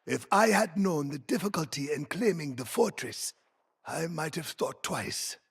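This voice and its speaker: An actor playing a wry, worldly ally in a video game, theatrical and drawn-out, with a husky, creaky voice. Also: male voice, on the high side, expressive, full character voice. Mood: wry, musing. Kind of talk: video game character dialogue.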